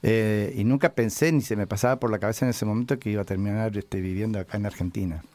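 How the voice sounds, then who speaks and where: An older man from Chile, a musician answering interview questions casually, halting and reflective as he searches for words. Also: lowish voice, darkish tone, no room sound.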